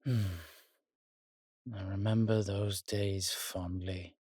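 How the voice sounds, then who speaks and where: Man acting a slow, husky, roguish old vampire. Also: low-pitched, little pitch movement, a little mellow, dry recording, studio quality, full character voice. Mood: amused, nostalgic. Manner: theatrical, archaic turns of phrase, teasing. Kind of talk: intimate roleplay audio drama.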